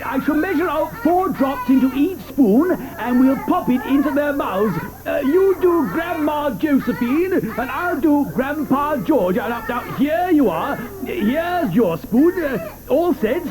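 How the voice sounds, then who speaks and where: An actor playing an eccentric, excitable male chocolate maker in a 1980s audio drama, with theatrical, whimsical exclamations. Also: very high voice, slow, hardly any pauses, a crowd in the background, full character voice. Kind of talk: 1980s radio drama acting.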